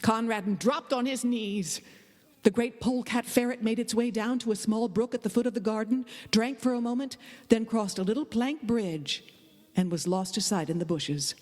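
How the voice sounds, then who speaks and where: A woman giving a dramatic live reading of a literary story, with expressive, deliberate phrasing. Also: on the high side. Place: indoor hall, live performance. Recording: live performance in a reverberant hall.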